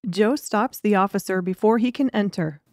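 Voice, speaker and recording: A woman's voice: medium pitch, normal speed, darkish tone, no room sound, clean studio sound. A woman reading scripted story narration as an audiobook narrator.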